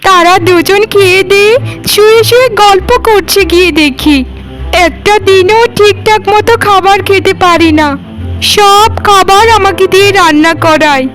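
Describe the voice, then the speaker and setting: Very high, whining young girl voiced by a woman. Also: moderate pace, over music, rough recording. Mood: aggrieved, tearful complaint. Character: mistreated young orphan sister, whining and aggrieved. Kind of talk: cartoon voice acting, melodrama.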